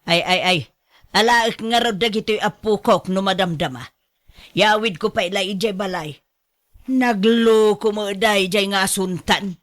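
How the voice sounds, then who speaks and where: A woman acting in a radio drama as an exasperated, scolding grandmother, with a Filipino accent and a drawn-out, complaining delivery. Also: medium pitch, close to an everyday voice. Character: exasperated, scolding mother worried about grandchildren.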